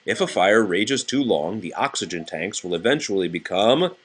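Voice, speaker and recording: Man, low-pitched voice, children's book read-aloud, plain explanatory delivery. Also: expressive, moderate pace, fairly bright tone, breathy edge. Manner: plain explanatory reading, with conversational asides to a child. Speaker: adult reading aloud to a child.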